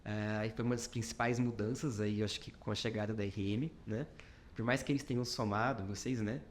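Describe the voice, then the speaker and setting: A man's voice: on the low side, steady tone, a little fast, slight background noise. Reflective Minas Gerais-accented man. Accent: Minas Gerais Brazilian Portuguese. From Brazil. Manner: reflective, many fillers, talks in short clauses. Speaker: company founder, podcast guest. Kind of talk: conversational podcast interview.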